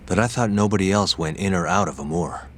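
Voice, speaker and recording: An actor playing a calm, persistent private detective in a video game, speaking in a measured, matter-of-fact, probing way. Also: male voice, deep voice, a little hiss or hum, close to an everyday voice. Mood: thoughtful, persistent. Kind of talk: English video game dub acting.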